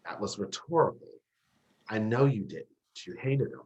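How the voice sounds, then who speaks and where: Man playing a bitter, sarcastic young man, animated pitch. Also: moderate pace, muffled recording, natural voice. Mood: scornful. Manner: sarcastic, confrontational. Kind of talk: dramatic dialogue acting.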